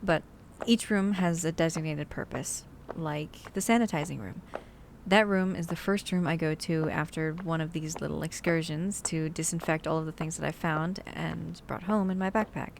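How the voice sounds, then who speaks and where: A woman's voice: low-pitched, fairly even intonation, normal speed, creaky, slight background noise. A woman playing a chatty, cautious zombie-apocalypse survivor in an ASMR roleplay audio drama, talking to the listener in rambling, hesitant asides. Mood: caring, practical.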